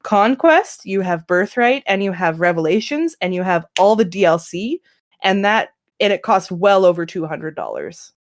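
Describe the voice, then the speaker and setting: A woman's voice: medium pitch, very animated, normal speed. A woman talking casually on a group podcast, giving her opinions in an emphatic way. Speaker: podcast panel member, fan dub organiser.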